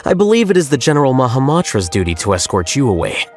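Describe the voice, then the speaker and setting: A man voicing a cool, aloof young scholar who speaks in a calm, detached and analytical way. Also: mid-range voice, quick pace, faint music behind, close to an everyday voice. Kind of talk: game voice acting.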